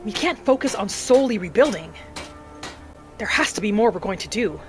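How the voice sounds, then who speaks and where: A woman voicing a vengeful young orphaned vampire hunter, narrating a video game in character with earnest drama and breathy asides. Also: medium pitch, expressive, fairly quick, natural voice. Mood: determined. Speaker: roleplay gamer narrating in character. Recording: music behind the voice. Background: game music.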